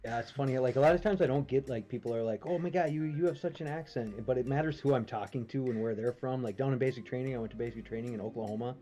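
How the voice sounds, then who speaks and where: A man's voice: mid-range voice, fast talker, hardly any pauses, warm tone. Chatty man from Minnesota, easy laugher. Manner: laughs easily, chatty, trails off in asides. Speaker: trivia stream co-host. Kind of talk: casual chat.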